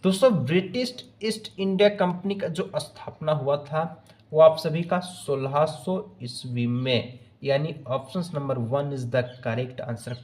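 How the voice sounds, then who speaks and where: Guy w doing exam prep quiz lesson, slightly high voice, repeats stuff, throws in english bits. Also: slow pace, darkish tone, poor audio. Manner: repetitive, asks each question then answers it; mixes English phrases into speech. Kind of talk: quiz-style lesson narration addressed to students.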